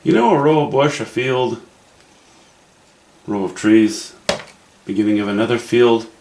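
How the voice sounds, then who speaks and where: A Canadian man with a low, gravelly voice talks through his painting in a wry, thinking-aloud way. The sound is roomy and echoey, with faint background ambience. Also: measured pace, darkish tone, a little hiss or hum. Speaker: painting instructor. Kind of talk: casual painting demo narration.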